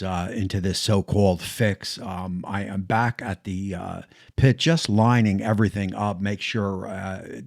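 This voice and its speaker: A man's voice: lowish voice, dry recording, studio quality. A man hosting a backyard barbecue channel, talking casually through a smoker fix with lots of fillers and restarts. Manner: chatty, many fillers and restarts. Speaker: BBQ channel host. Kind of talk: casual how-to vlog.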